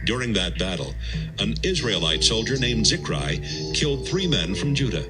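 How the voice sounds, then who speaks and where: A man narrating a scripted, dramatised Bible account as a measured, solemn storyteller. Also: on the low side, very animated, rough recording. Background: music.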